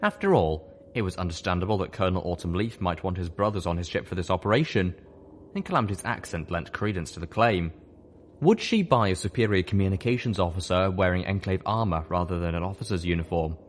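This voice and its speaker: Deep-voiced man reading expressively. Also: lively intonation, quick pace, a little hiss or hum. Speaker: audiobook reader. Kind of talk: fan fiction audiobook narration.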